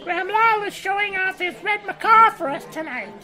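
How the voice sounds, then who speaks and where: An actress playing an effusive, gushing society hostess in a 1930s-style radio drama. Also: female voice, very high-pitched, animated, normal speed, sharp tone, faint music behind, a faint crowd, exaggerated voice.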